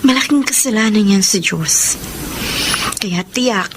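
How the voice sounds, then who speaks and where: A woman's voice: mid-range voice, lively intonation, slow, noisy background. An actress in a Filipino radio drama playing an earnest, devoted young girlfriend who is easily hurt, with a pleading, emotional delivery. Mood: concerned urging.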